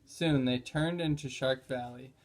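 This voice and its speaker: A man's voice: medium pitch, unhurried. A man narrating a children's chapter book in a read-aloud style.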